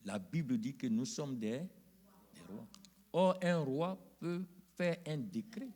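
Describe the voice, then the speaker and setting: A middle-aged pastor, preaching a sermon in a church, calling out to the congregation and rising to loud emphatic peaks. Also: male voice, mid-range voice, animated, takes it slowly.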